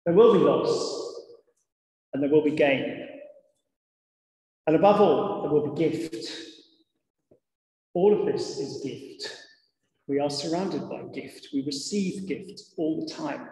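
A middle-aged man, a vicar giving a sermon in a measured, reflective manner with deliberate pauses. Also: on the high side, a little mellow. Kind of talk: sermon to a congregation. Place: large church interior, indoors. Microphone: lectern gooseneck microphone. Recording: echoey church hall with long reverb.

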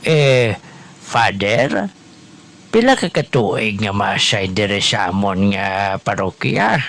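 A man's voice: fairly low pitch, lively intonation, slow, fairly bright tone, exaggerated voice. Man doing a hesitant, devout older parishioner. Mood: admiring. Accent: Hiligaynon Filipino. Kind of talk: radio drama acting. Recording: music under the voice.

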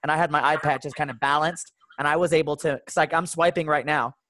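A man's voice: on the high side, steady tone, fast, bright, forceful tone, no echo. A man taking part in a video call workshop, chatting casually about how he did things. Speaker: workshop participant, actor. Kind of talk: casual chat.

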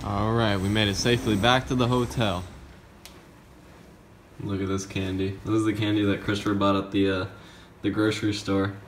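A man's voice: on the low side, flat delivery, moderate pace, long pauses. A young man chatting upbeat to his viewers while he films himself on a phone held at arm's length, walking around a city. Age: young adult. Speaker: vlogger. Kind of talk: casual travel vlog narration. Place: streets and shops of a city, often outdoors in rain. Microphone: phone camera at arm's length. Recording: phone audio with loud street noise.

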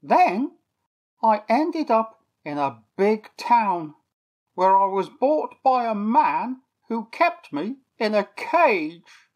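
A woman doing a squawky, high-pitched toucan. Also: takes it slowly. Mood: storytelling. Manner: excitable, repeats phrases. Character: chatty male toucan, squawky and lively.

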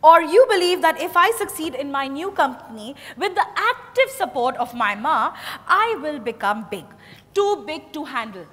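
An Indian-accented woman playing a sharp, suspicious young businesswoman in a dramatized stage reading. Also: sits high, very animated, normal speed. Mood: challenging. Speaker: actor. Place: large hotel ballroom stage, indoors. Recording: echoey hall stage recording.

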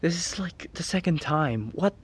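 A man's voice: on the high side, lively intonation, moderate pace, breathy edge. A young man playing a grumpy, teasing tsundere teenage best friend in an ASMR roleplay, scolding in an exasperated, whiny way.

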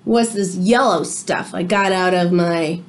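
A woman voicing an anxious, easily horrified little boy in a rhyming picture book, with dramatic drawn-out words. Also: fairly low pitch, pitched below the performer's own voice, natural voice. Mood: surprised, relieved.

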